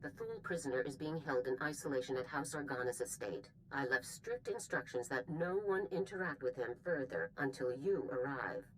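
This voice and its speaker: An actress playing a stern, brisk military general, giving a formal, authoritative mission briefing in a video game. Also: female voice, extremely low pitch, expressive, a little hiss or hum, poor audio. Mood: commanding. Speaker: commanding officer.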